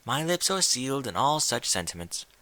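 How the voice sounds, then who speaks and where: A man voicing a refined young female pony from a cartoon world in a story reading, with genteel, theatrical, gently prodding diction. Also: medium pitch, very animated, normal speed, no echo. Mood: coaxing.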